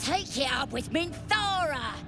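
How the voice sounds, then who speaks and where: A woman's voice: sits high, expressive, unhurried, creaky, over music, full character voice. A woman voicing a scrappy, defiant goblin underling in a video game, coarse and protesting. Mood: indignant.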